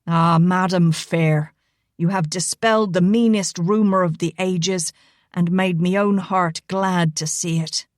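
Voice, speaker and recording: A woman's voice: on the low side, fairly even intonation, unhurried, no echo, clean studio sound, close to an everyday voice. A woman voicing a big, charming Irish man who teases in a thick Irish brogue. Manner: playful thick brogue, lilting.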